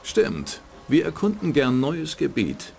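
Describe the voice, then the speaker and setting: An actor playing a cynical, hard-boiled private detective in a dubbed game, with a dry, sardonic delivery. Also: male voice, a little high, huge pitch swings, slow pace, gravelly, a little mellow, heavily acted. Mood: guarded, probing. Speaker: narrating game protagonist.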